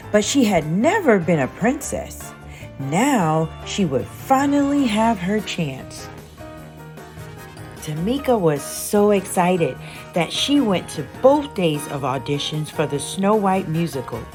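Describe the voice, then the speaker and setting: A woman reads a picture book aloud for storytime with lively, swooping intonation. She takes her time over each phrase, and music plays behind her. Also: mid-range voice. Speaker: storytime reader. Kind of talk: expressive children's picture book read-aloud.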